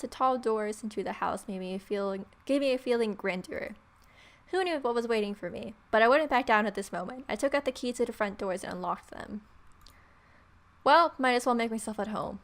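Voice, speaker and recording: Female let's play host, mid-pitched, irreverent and playful, switching between narration and offhand remarks. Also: fairly bright tone. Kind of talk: visual novel read-aloud with casual commentary.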